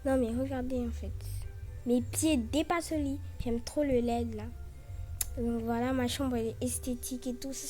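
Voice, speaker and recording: A woman's voice: sits high, mellow. A young woman with a French accent giving a casual room tour, chatty and filling her talk with "genre" and "voilà". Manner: chatty, filler-heavy with frequent "genre" and "voilà". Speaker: vlogger.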